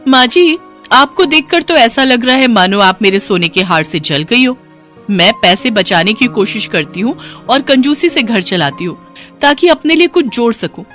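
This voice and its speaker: Lady doing a stingy young indian daughter-in-law, high pitched, bossy n theatrical, story audio with music. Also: expressive, moderate pace, a bit bright. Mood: indignant, scornful. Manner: scolding, emphatic, theatrical.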